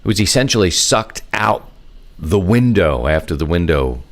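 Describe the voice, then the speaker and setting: Low-voiced man hosting a podcast. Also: sing-song, normal speed. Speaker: podcast host. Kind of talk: casual solo podcast talk.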